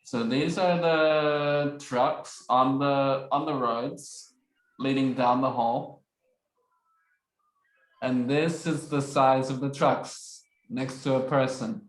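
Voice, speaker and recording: A man's voice: mid-range voice, steady tone, slow pace. A man presenting slides as a guest speaker on a video call, repeating phrases like a patient teacher. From Australia. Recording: roomy video call audio.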